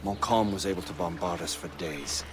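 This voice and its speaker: A dignified middle-aged British officer, voiced by a male actor in a game. He speaks in a low, measured, formal voice, courteous and stoic. Music is clear behind him. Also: close to an everyday voice. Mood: courteous, grave.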